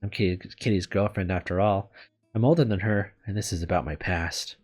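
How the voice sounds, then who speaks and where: A man's voice: sits low, a little fast, darkish tone, husky. A man narrating a visual novel as a let's player, sometimes stumbling and rereading words. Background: music.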